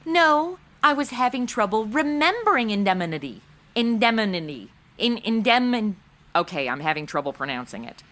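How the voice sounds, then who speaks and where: Sassy young-sounding rookie sleuth acted by a woman, American, high animated voice, breezy, comic audio drama. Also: moderate pace. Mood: chatty. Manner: breezy, sassy, casual modern speech. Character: chatty, flippant modern woman, rookie investigator. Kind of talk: comedic audio drama acting.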